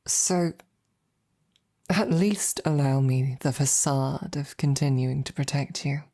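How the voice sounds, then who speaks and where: A devoted female royal knight, acted by a woman in an intimate audio roleplay. The voice is low and soft-edged, moving slowly and haltingly. The delivery is tender and earnest, addressed closely to a beloved princess. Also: heavily acted. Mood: wry, reassuring. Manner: hesitant, halting, softly earnest. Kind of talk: intimate scripted roleplay monologue to the listener.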